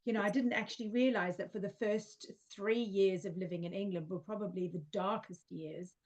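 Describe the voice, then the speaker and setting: A woman in her forties from South Africa, a guest talking softly and warmly about her spiritual journey, with many restarts and fillers. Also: mid-range voice, fairly even intonation, brisk. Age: 40s. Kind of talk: casual spiritual conversation.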